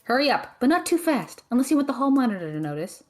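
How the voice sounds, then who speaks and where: A woman acts out a stern young female student at a magic academy. Her voice sits a little high, and she delivers dry, deadpan teasing with stressed precision. It is audio drama acting with music underneath. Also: fast talker.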